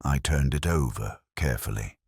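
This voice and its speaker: An actor playing a melancholy, wry middle-aged police inspector, narrating a detective story in a measured, literary manner with deliberate pauses. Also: male voice, extremely low pitch, slightly rough, dry recording, studio quality. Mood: brooding, reflective. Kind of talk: scripted first-person detective narration.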